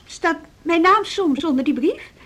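An actress playing a probing, wary woman teacher in a Dutch radio play, with a husky voice. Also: very high voice, animated, measured pace, a bit bright, full character voice. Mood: probing, guarded. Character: probing, scheming female music school teacher, evasive and wary.

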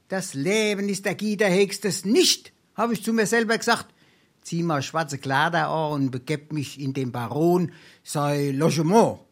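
A male actor with a Darmstadt Hessian accent playing a wily, boastful penniless scrounger in a dialect stage comedy, theatrical and full of dramatic hesitation. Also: sits high. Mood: wistful, dramatic. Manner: theatrical, braggartly, hesitating for effect.

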